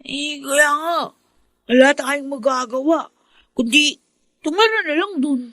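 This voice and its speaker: An earnest boy, very high voice. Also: measured pace, a bit bright, no echo, studio quality. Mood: resigned. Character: earnest, hopeful, dutiful poor boy in his teens. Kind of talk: Filipino radio drama acting.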